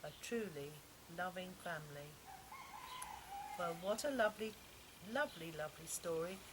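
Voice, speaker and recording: An older woman reading a children's story aloud in an expressive, heartfelt storytelling manner. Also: lowish voice, moderate pace. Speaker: author reading her own children's stories. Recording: noisy home-style recording, constant background noise.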